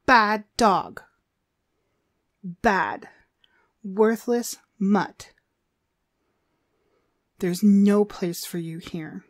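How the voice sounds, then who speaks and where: A woman's voice: mid-range voice, a little mellow, no room sound, clean studio sound. A woman playing a cruel, domineering demonic dog trainer in an ASMR roleplay, contemptuous and commanding in her delivery. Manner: contemptuous, commanding, slow and deliberate. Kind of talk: ASMR roleplay, frequent breathy whispering.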